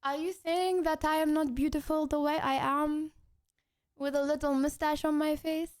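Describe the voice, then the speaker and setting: Woman putting on a high-pitched haughty French lady. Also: steady tone, normal speed, lightly acted. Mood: haughty, scornful. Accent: put-on French. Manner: pompous, theatrical, repetitive. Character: haughty, flamboyant French lady food snob with mustache. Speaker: livestreamer. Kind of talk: playful in-character livestream chat.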